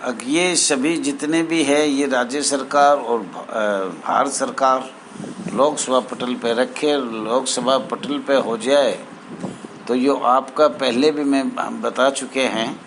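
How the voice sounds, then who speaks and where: Male, mid-pitched, slow and halting association spokesman explaining procedure formally, with clear traffic-like noise behind him. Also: a bit bright, low-quality recording. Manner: halting, formal honorifics, repeats phrases. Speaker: home guard association spokesman. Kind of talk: informal explanatory address to association members.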